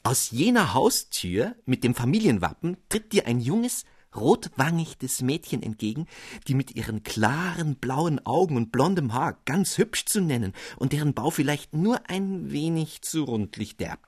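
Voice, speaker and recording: A man's voice: on the high side, breathy edge, no echo, studio quality. A man narrating a classic fairy tale for radio, telling it expressively and addressing the listener directly. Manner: expressive storytelling, addressing the reader. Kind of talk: scripted literary narration.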